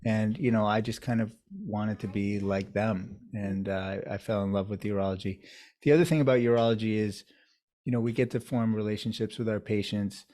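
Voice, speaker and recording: A man with a somewhat low voice answers interview questions as a doctor. He talks in a measured, reflective way, building his answers step by step. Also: steady tone, warm tone. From United States, New York area. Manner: measured, thoughtful storytelling. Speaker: urologist guest.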